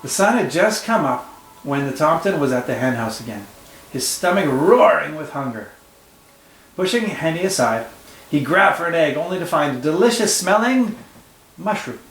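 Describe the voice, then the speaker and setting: Expressive male teacher reading aloud. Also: a little high, moderate pace, a little hiss or hum. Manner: warm, expressive storytelling with asides. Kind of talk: read-aloud storytelling for children. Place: room at home. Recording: echoey room with faint ambience.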